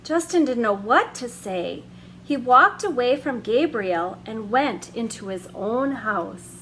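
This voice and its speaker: A woman hosting a children's story hour, reading a picture book aloud in a gentle, expressive way with drawn-out emphasis. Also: on the high side, a little hiss or hum, poor audio.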